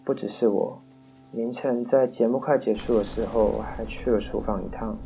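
Casting: agreeable young male student, a man acting, relaxed timbre with vocal fry, radio mystery. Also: mid-range voice, moderate pace, faint music behind, natural voice, low-quality recording. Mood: explanatory.

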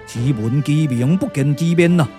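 A man's voice: a little high, mellow, soft background music, lightly acted. A man with a Taiwanese accent playing a grave, courtly, emotional strategist in a glove-puppet radio drama, declaiming theatrically. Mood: solemn, courtly. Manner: declamatory, theatrical, drawn-out exclamations.

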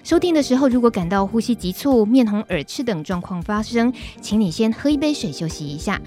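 A woman's voice: fairly high pitch, lively intonation, fairly quick, dark tone, faint music behind. A woman with a Taiwanese Mandarin accent, hosting a live web radio show.

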